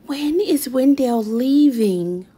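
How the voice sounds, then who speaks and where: A woman voicing a quietly fed-up young girl in a storybook, plaintive and understated. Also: sits high, lively intonation, takes it slowly, slightly rough, voice pushed up, full character voice.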